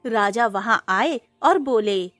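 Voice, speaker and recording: A woman's voice: on the high side, animated, slow, no echo. A woman narrating a scripted cartoon moral story. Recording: music under the voice.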